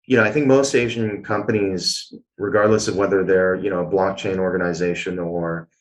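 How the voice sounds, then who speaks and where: A man's voice: sits low, steady tone, brisk, low-quality recording. A man from Canada, a guest answering interview questions in an explanatory way, with many filler sounds. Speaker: guest, blockchain executive.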